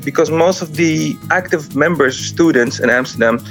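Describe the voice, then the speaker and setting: A man from Lebanon, guest on a radio interview, telling his story in a lively way. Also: a little high, normal speed, faint music behind, rough recording. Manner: lively retelling, quoting others. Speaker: guest, volunteer, engineer.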